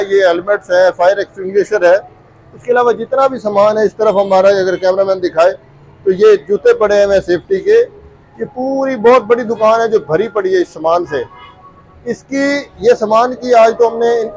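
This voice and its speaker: A man's voice: high voice, a little mellow, a little hiss or hum, poor audio. A young man, hosting a shop walkthrough video in a friendly, upbeat way, on a lapel mic in a large store. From Pakistan. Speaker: host. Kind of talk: vlog walkthrough interview. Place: large hardware shop, indoors.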